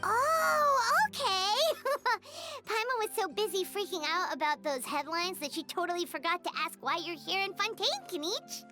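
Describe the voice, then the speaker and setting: A woman voicing a small, excitable fairy girl companion in a video game, squeaky and childlike, exclaiming dramatically. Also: normal speed, hardly any pauses, faint music behind, full character voice. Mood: relieved, cheerful. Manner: exclamatory, dramatic, talks about self in third person.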